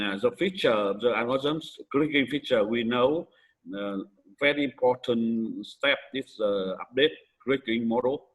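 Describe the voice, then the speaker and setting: A man from Vietnam with a Vietnamese accent gives an academic conference presentation in halting, hesitant English with frequent pauses. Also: medium pitch, a bit bright, husky, poor audio.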